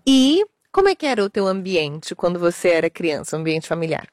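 A woman from Portugal, interviewing in a gentle, thoughtful way with long reflective questions. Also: lowish voice, big pitch swings, fairly quick, no echo.

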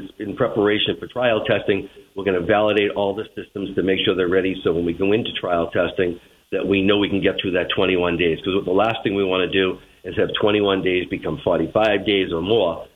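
Low-voiced Boston man on a phone line. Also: fairly even intonation, a little fast, a little hiss or hum. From Boston, United States. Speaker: transit agency general manager, interview guest. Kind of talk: spoken radio interview answers. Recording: narrow tinny phone line.

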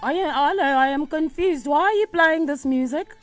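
A woman's voice: high-pitched, moderate pace, faint music behind, natural voice. A woman voicing a fussy, officious female records keeper on a radio show. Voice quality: prim, officious, bureaucratic. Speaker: radio co-host in a bit.

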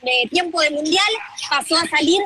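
An Argentine woman reporting live from the tournament in a brisk, informative way, with frequent "eh" fillers. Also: high-pitched, bright, forceful tone, slight background noise. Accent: Argentine Spanish.

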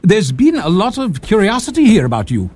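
Actor for a London-accented male nurse, wry and courteous, with a lively sliding voice. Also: high voice, brisk, exaggerated voice. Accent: London English. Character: English male nurse with leprosy, wry hospital superintendent. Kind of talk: audio drama acting.

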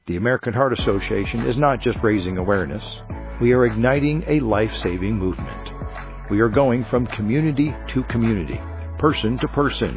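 A man reading a scripted public service ad as a voice-over, in an urgent, rallying way. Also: medium pitch. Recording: lo-fi audio with music behind.

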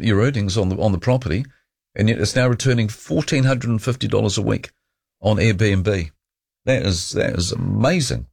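A man's voice: medium pitch, vocal fry, no echo, clean studio sound. A man with a New Zealand accent hosting a talkback radio show, chatty and rambling as he takes calls. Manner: rambling and chatty, restarts often. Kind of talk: casual talkback chat. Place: radio studio.

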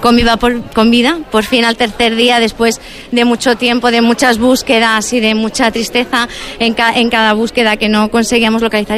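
Woman emergency nurse from madrid, a bit high voice, earnest and modest. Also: fairly bright tone. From Madrid, Spain. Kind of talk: interview guest.

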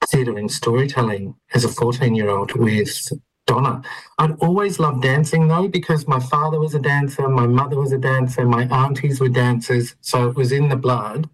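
Relaxed Australian man telling his story. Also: medium pitch, rough recording. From Melbourne, Australia. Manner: relaxed, many ums, laughs easily. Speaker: interview guest. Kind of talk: conversational storytelling.

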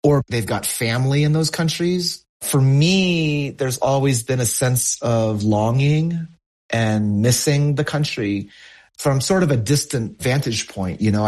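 A man's voice: mid-range voice, a little mellow. A middle-aged American man, a poet, talking reflectively as a guest in an interview. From United States, California.

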